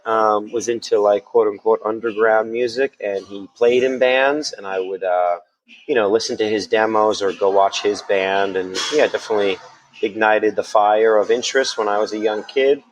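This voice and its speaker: A friendly New man with a fairly low voice. He answers interview questions in a relaxed way. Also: moderate pace, low-quality recording. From New Jersey. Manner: relaxed, friendly, laughs along. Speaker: musician guest.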